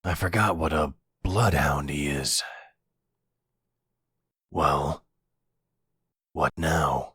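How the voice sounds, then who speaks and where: Man acting as a very deep, husky, weary mafia exile. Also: a bit bright, no echo, exaggerated voice. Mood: grudging. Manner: resigned, slow, brooding. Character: weary, bitter exiled mafia father on the run. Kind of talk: ASMR audio roleplay drama.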